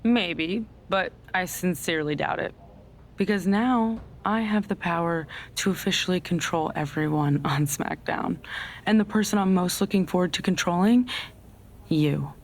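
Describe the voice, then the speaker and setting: Woman playing a smug, scheming female boss, low-pitched. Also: moderate pace, a little hiss or hum, lightly acted. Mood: smug gloating. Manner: smug, condescending. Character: smug scheming female wrestling general manager. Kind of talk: scripted video game cutscene acting.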